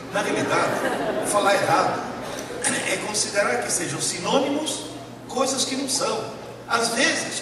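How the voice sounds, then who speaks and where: A man from Italy with an Italian accent, a professor lecturing emphatically to a live audience and putting rhetorical questions to them. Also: high voice. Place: large lecture hall. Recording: echoey hall, distant mic, noisy.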